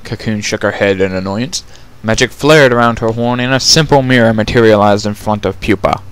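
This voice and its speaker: Clear-voiced man narrating a fantasy story. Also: on the low side, a little fast. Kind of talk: dramatic fan fiction reading, scripted narration.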